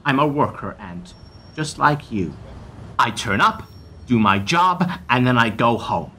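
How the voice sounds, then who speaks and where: A man acting a cold, blunt bank executive in an amateur radio play, talking in brisk, clipped lines. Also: mid-range voice, expressive, pushed and bright, slight background noise. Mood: weary. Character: cold blunt male corporate banker. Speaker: bank executive delivering bad news.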